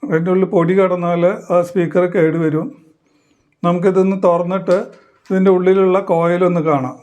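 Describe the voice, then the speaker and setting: A man explaining a hands-on demonstration in halting, short phrases, like a tutorial presenter. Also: high voice, flat delivery, a little mellow, lo-fi sound.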